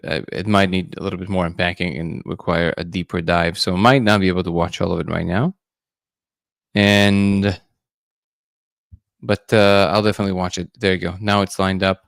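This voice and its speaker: A man's voice: deep voice, vocal fry, no echo, studio quality. A man hosting a casual livestream, musing loosely and greeting viewers by name. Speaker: livestream host.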